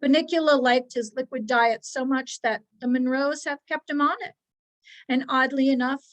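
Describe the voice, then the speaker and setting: A woman reading a children's story aloud as its narrator, expressively and with measured, dramatic pauses. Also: fairly high pitch, poor audio. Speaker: storybook reader, narrating as a dog.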